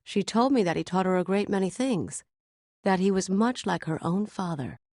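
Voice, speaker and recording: An actress playing a young elf princess in a video game, composed and gracious, with measured, polite speech. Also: female voice, medium pitch, animated, a little mellow, dry recording, clean studio sound. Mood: gentle, respectful. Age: young adult.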